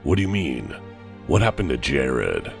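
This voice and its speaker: Man acting very deep, gravelly gruff warrior. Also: sing-song, a little slow, soft background music, heavily acted. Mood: concerned. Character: big gruff warrior, blunt but well-meaning. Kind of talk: audio drama acting.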